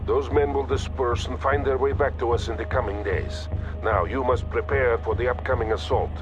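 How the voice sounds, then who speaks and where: A man performs a Soviet army colonel from a military video game, stern and in full command. His pitch is middling and his delivery measured and authoritative, as in a formal briefing. Music plays clearly behind him. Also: steady tone, highs cut off, close to an everyday voice. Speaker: military commander giving orders over radio.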